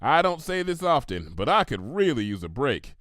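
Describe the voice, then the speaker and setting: A man's voice: medium pitch, big pitch swings, moderate pace, a bit bright, no echo, studio quality, voice pushed down. A man voicing a tired, plain-spoken adult female farm pony with a folksy, wry way of talking. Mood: weary.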